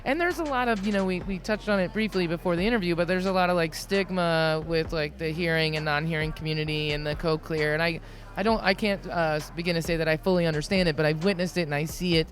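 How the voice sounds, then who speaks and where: Deep-voiced chatty woman podcast host. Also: soft background music. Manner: chatty, fillers and quick restarts. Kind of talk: casual interview chat.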